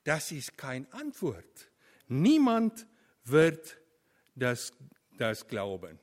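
A middle-aged man whose first language is Afrikaans, preaching an earnest sermon in German with slow, stressed phrases and small grammar slips. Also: medium pitch, wildly swooping pitch, a little mellow. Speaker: preacher, former theology teacher.

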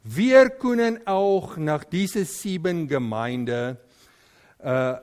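A man preaching a sermon in German with an Afrikaans accent, Afrikaans being his first language, often pausing and searching for words. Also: fairly high pitch, lively intonation, a little mellow, clear, resonant tone.

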